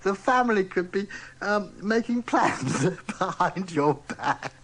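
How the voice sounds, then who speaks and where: A woman's voice: medium pitch, lively intonation, slow, fairly bright tone, slight background noise. A woman giving a chatty, sympathetic radio horoscope in a giggly way. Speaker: radio astrologer reading horoscopes.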